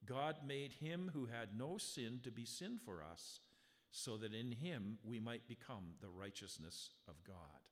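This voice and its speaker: A man preaching a sermon from the pulpit, talking with the congregation in a conversational way and slowing right down when he reads scripture aloud. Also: mid-range voice. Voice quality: strong voice. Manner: conversational preaching with rhetorical questions; slow, emphatic scripture reading. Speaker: pastor. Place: church sanctuary. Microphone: handheld or stand mic, sound adjusted live. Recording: long hall reverb.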